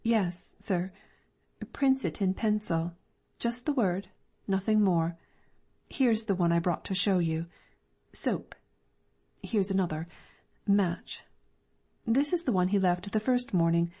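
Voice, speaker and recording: A woman's voice: medium pitch, slow pace, long pauses, warm tone, breathy edge. A woman reading the part of a respectful older landlady who plainly and deferentially reports to a detective in an audiobook. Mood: earnest.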